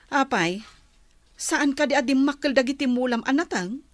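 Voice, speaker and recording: A woman's voice: high-pitched, normal speed, dry recording, natural voice. A woman with an Ilocano accent acting a nervous, evasive farmer's wife in a comic drama, speaking hesitantly and fretfully. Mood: fretful, questioning.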